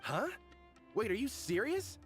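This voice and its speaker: Excitable merc dude, highish, bouncing pitch, music faint. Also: male voice, unhurried. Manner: exclaiming, indignant. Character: excitable rough mercenary man.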